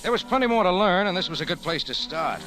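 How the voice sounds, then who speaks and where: Wry American private eye, lively inflection. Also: male voice, high voice, quick pace, faint music behind, poor audio. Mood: narrating. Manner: laconic, sardonic. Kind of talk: hard-boiled old radio detective acting. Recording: hissy old-time radio recording.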